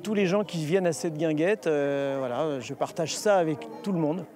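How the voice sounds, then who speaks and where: A man's voice: on the high side, normal speed, over music. A man from France, a musician, talking in an easygoing, chatty way in a news interview outdoors. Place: outdoors at a village bar gathering.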